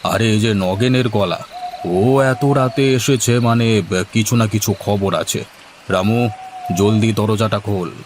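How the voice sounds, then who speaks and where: A man's voice: fairly low pitch, steady tone, measured pace, background noise, natural voice. A man voicing a self-important village headman in a cartoon, a patron who speaks in a commanding, theatrical way. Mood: wary, commanding. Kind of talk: Bengali cartoon dubbing.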